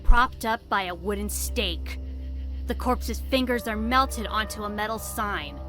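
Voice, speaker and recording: A woman's voice: mid-range voice, lively intonation, measured pace, music in the background. A woman narrating an audio drama in a theatrical, suspenseful storytelling style.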